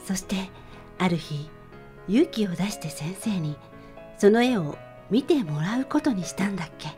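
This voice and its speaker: A woman playing a weary office worker who narrates memories of her schooldays softly and wistfully in a radio drama. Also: medium pitch, animated, normal speed, faint music behind, close to an everyday voice. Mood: wistful, tender.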